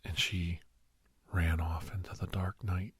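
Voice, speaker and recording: A man acting as a middle-aged doctor in an ASMR roleplay, with a low, very breathy and husky voice. He tells his memories softly, often sinking into a whisper, with earnest, halting pauses. The sound is close and very dry. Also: little pitch movement, dry recording, full character voice. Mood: grave, haunted. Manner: halting, hushed, dramatic pauses. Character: middle-aged male doctor, earnest, sentimental, reminiscing to a youngster. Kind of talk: ASMR roleplay storytelling, soft-spoken and half-whispered. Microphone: close, dry microphone.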